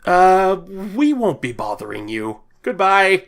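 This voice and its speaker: Male actor as graying fox rogue in an audio drama: theatrical, slippery braggart, highly animated delivery. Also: slow, gravelly, exaggerated voice. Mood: nervous evasion. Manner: theatrical, glib. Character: graying male fennec fox, boastful, evasive, paranoid bounty hunter.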